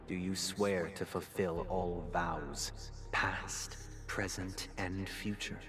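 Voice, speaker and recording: Devout cold zealot from a game, low breathy near-whisper, super slow and grave. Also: male voice, fairly even intonation. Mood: solemn, ceremonial. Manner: grave, ceremonial, deliberate. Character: solemn, devout zealot, gently sorrowful, coldly resolute. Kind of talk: dramatic video game voice acting.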